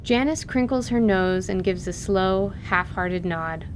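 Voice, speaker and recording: A woman reading scripted story narration as the narrator of an audio drama. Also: a little slow. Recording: noisy recording with low rumble.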